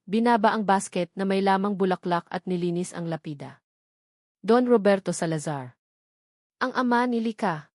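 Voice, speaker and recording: A woman's voice: mid-range voice, no room sound, clean studio sound. A woman reading a scripted romantic drama as a story narrator. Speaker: narrator of an audio love story.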